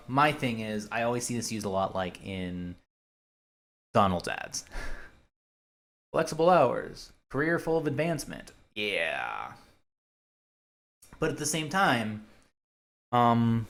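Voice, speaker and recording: A young man hosting a solo podcast, rambling in a casual, hesitant way and thinking aloud with many pauses and restarts. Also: fairly low pitch.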